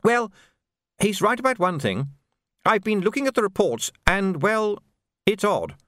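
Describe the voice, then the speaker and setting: Guy putting on a careful army captain, higher than his own voice, dry and measured. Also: big pitch swings, fairly bright tone, dry recording, full character voice.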